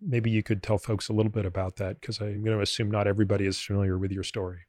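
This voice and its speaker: A man speaking as a podcast host, introducing and interviewing in a measured, articulate way. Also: fairly low pitch, level tone, a little mellow, no room sound, clean studio sound.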